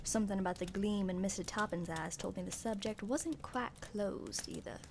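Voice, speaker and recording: A woman playing a wry, suspicious young journalist who narrates an amateur radio mystery in a deliberate, dry way. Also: mid-range voice, creaky, close to an everyday voice. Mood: wry, brooding. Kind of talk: scripted first-person mystery narration. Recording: faintly noisy home recording.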